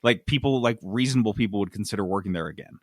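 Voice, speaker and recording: A man's voice: fairly low pitch, rapid-fire, dry recording, studio quality. An American man hosting a casual gaming news chat, sardonic and prone to sweary outbursts. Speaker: podcast host.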